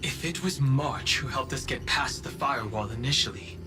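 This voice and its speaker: An actor playing a serious, loyal young man in a video game, speaking in an earnest, measured way. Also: medium pitch, close to an everyday voice. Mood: grave, worried. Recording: loud music under the voice.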